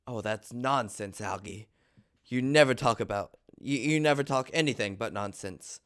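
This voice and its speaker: Stage comedy reading: proper, earnest, touchy young English gentleman played by a man, mid-range voice, dry recording. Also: medium pitch, normal speed, smooth, no echo, close to an everyday voice. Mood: indignant, earnest.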